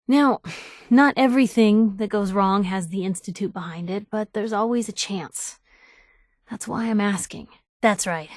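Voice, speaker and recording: A woman's voice: medium pitch, darkish tone, dry recording, studio quality, natural voice. An actress playing a spirited, nosy young woman reporter in a video game, talking in a wry, inquisitive, punchy and colloquial way. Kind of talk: video game companion dialogue.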